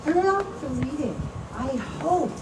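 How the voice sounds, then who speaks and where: A woman with a slightly high voice hosts a live event, making informal announcements at a slow, halting pace. She sounds far from the mic, outdoors. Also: animated. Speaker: event host. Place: outdoors at an animal ranch. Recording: roomy, distant mic, clear background noise.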